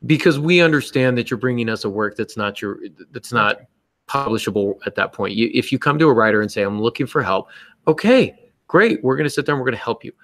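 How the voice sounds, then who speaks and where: A man's voice: medium pitch, animated, quick pace, fairly bright tone, no echo, clean studio sound. A man co-hosting a podcast, speaking casually but emphatically and bluntly, acting out little exchanges as he gives advice. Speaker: podcast co-host and writer.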